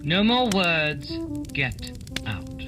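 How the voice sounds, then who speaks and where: A woman playing a stern, dry-witted male detective in a radio play, measured and commanding. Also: low voice, sing-song, over music, exaggerated voice. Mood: cold, curt. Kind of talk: holiday radio play acting.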